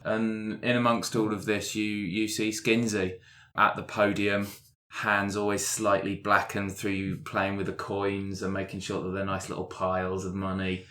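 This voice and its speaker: A man with a fairly low voice describes a scene as a player in a roleplaying show. He improvises casually and haltingly, adding detail as he goes. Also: monotone, pushed and bright.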